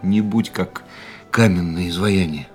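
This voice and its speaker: A man's voice: low voice, unhurried. An actor playing a lovesick, jealous man in a radio drama, speaking earnestly and broodingly. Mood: pleading, ardent. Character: lovesick, jealous man pleading with his beloved. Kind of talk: radio play acting with reflective narration. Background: music.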